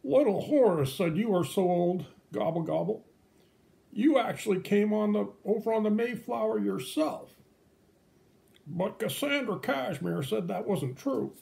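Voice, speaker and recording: A cheeky old turkey puppet, acted out by a man for a children's show. The voice sits high, well above normal talk, and it teases and wisecracks like a seasoned joker. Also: a little slow, gravelly, warm tone, heavily acted. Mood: teasing. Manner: joking, teasing, punctuated with gobbles.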